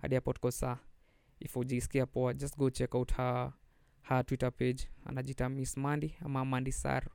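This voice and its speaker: A man's voice: mid-range voice, monotone, fairly quick, creaky, darkish tone, clean tone, dry recording. A young Kenyan man hosting a podcast, chatting casually and switching between English and Swahili slang. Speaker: podcast host. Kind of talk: casual solo podcast chat.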